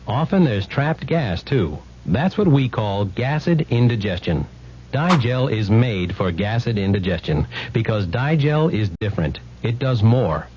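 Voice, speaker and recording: A man's voice: mid-range voice, a little mellow, slightly rough. A man reading an explanatory scripted radio commercial as an announcer. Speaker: advertising announcer. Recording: dull old radio recording.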